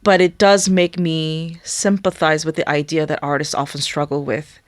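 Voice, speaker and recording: A young woman whose first language is Korean, speaking thoughtfully in a studio interview and often pausing to search for words. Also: low voice, little pitch movement, no echo. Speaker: guest musician. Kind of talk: reflective interview talk.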